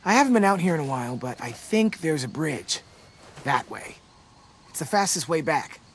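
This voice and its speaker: A man playing a warm, sentimental teenage boy survivor in a video game, casual and heartfelt in delivery. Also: fairly high pitch, sing-song, normal speed, a little hiss or hum. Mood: calm. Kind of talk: naturalistic video game voice acting.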